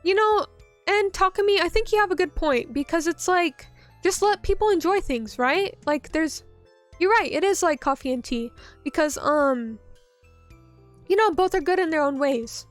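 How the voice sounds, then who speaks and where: A woman's voice: extremely high pitch, animated, normal speed, dry recording, soft background music. A woman streamer chatting casually with her viewers, reading their messages aloud and rambling with lots of "like" and "um.". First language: English. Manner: rambling, many fillers like "like" and "um".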